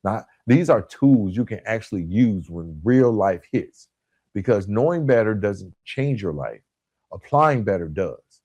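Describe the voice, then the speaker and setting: A man hosting a motivational coaching monologue, speaking directly and informally to listeners with emphatic, deliberate phrasing and repetition. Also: mid-range voice, darkish tone, no room sound. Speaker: podcast host and author.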